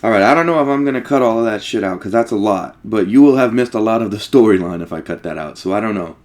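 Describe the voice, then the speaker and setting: A man's voice: on the low side, expressive, quick pace. A man giving casual let's play commentary, informal and sweary, thinking aloud as he plays.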